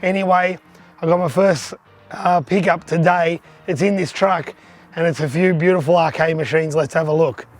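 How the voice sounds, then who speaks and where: Hoarse man, voice nearly gone. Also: a little high, fairly even intonation, moderate pace, faint music behind. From Australia. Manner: chatty, upbeat, pointing things out. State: lost voice, hoarse. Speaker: vlogger, arcade collector. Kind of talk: casual vlog tour.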